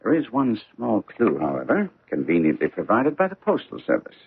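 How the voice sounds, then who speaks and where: Gentleman sleuth, precise and theatrical, swooping intonation, radio mystery, narrow muffled sound. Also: lowish voice, huge pitch swings, brisk, husky, heavily acted. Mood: thoughtful, dry. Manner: precise, formal, theatrical phrasing. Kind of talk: radio mystery drama acting.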